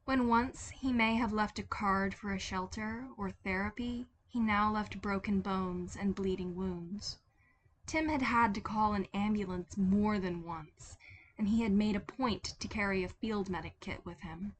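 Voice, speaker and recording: A woman's voice: medium pitch, a little slow, a little hiss or hum. A woman reading scripted fiction aloud as a dramatic narrator. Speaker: podfic narrator.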